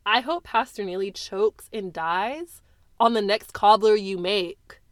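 A woman voicing a hurt teenage girl who shouts bitterly at her mother through tears. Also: mid-range voice, a little slow, dry recording, clean studio sound, lightly acted. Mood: furious.

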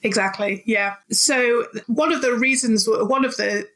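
A woman from Leeds with an English accent, mostly southern with faint Yorkshire traces, hosting a podcast in an informal, giggly, expressive way. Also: on the high side, measured pace. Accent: English, mostly southern with faded Yorkshire traces. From Leeds, Yorkshire, England. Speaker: podcast host. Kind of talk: chatty podcast interview.

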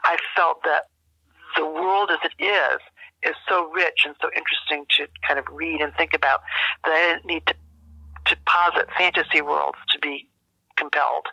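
An older woman speaking as an interview guest in a measured, thoughtful way, often searching for her words. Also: low-pitched, steady tone, gravelly, pushed and bright, slightly rough, no echo. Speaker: guest, journalist and journalism teacher. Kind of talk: reflective interview conversation. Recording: dull telephone-like line.

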